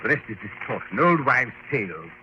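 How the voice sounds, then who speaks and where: An actor playing a confident, scornful aristocrat with breezy diction in an old radio drama. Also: male voice, medium pitch, sing-song, brisk, lightly acted. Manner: hearty, breezy, old-fashioned upper-class diction. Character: confident English aristocrat, jovially scornful of ghost legends. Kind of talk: 1950s radio drama acting. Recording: noisy lo-fi old radio recording.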